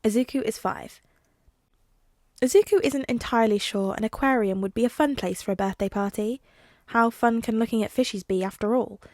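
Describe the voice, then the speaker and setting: Brief: woman reader, gentle storytelling, slightly high voice. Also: no echo, studio quality. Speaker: audiobook reader. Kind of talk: storybook narration of fan fiction.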